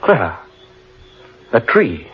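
A man's voice: medium pitch, huge pitch swings, husky, heavily acted. An actor playing a solemn, brooding man lost in an eerie forest in a 1940s radio horror drama. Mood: grave. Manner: solemn, ominous, deliberate. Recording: dull vintage radio recording.

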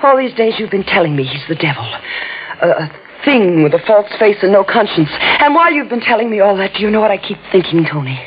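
A woman's voice: medium pitch, very animated, fast talker, close to an everyday voice. An actress playing an emotional, defiant young woman in a 1940s radio horror drama. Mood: taunting, enthralled. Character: passionate, defiant young woman drawn to a devil. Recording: muffled, noisy old radio recording.

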